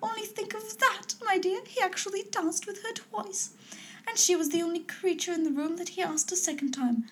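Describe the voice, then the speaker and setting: A woman's voice: very high-pitched, fairly even intonation, normal speed, a bit bright, a little hiss or hum, voice pushed up. A woman doing a gushing, fluttery mother. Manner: breathless, fluttery gossip. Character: gushing, excitable middle-aged mother, chattering.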